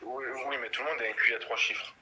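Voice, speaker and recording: A man's voice: medium pitch, steady tone, quick pace, a crowd in the background. A man in his thirties hosting a live chat, questioning his guest and stammering as he pushes back. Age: 30s. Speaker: live stream host. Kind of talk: casual chat.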